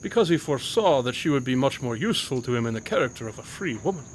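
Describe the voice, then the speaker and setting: An actor playing a shrewd, composed detective in an audio drama, with formal, precise, theatrical diction. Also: male voice, mid-range voice, expressive, fast, a little hiss or hum, natural voice. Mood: composed, explaining. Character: shrewd, composed male detective, commanding and quick-witted.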